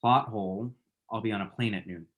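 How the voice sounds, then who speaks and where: Man acting awkward, halting young guy. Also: fairly low pitch, natural voice. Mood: playful banter. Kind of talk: naturalistic romantic comedy table read.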